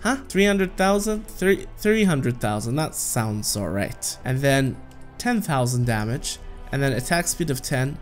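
A man's voice: medium pitch, lively intonation, unhurried, faint music behind. A man in his thirties giving casual, playful gameplay commentary as a gaming video host, talking to the game and chuckling often.

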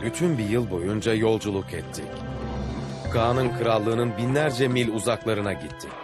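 A man's voice: on the low side, lively intonation, measured pace. A man playing a seasoned Venetian explorer captain in a dubbed cartoon, earnestly recounting his adventures. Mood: earnest storytelling. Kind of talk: dubbed cartoon dialogue and storytelling. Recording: background music under the voice.

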